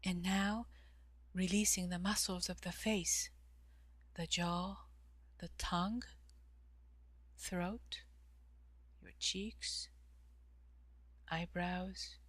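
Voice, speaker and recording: A woman leading a guided meditation in a calm, measured way, with long pauses and soft, breathy phrase endings. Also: on the low side, no echo. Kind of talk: guided meditation, slow instruction.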